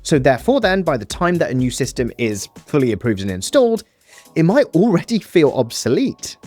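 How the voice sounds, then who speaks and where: A man's voice: a little high, very animated, a little fast, a little mellow, soft background music. A man presenting a scripted explainer with casual, conversational asides.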